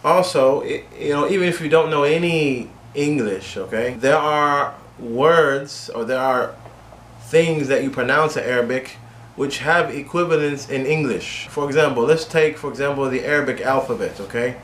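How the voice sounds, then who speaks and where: A man's voice: medium pitch, moderate pace, a bit bright. A man teaching English to learners, speaking directly and repeating key points with frequent "okay?" checks. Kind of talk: instructional video lesson. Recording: echoey room with faint ambient noise.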